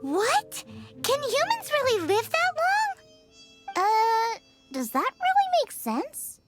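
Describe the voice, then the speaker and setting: A woman voicing a tiny, chirpy, excitable fairy girl companion in a video game, speaking in exclamations. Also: very high voice, takes it slowly, soft background music, heavily acted. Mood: amazed.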